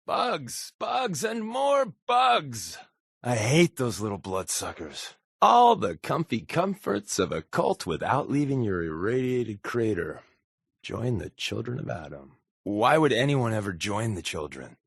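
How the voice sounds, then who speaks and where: An actor playing a wry, sarcastic secret agent companion in a post-apocalyptic video game, with a deadpan, wisecracking manner. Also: male voice, medium pitch, unhurried, gravelly, husky, no echo, clean studio sound, full character voice. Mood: sardonic.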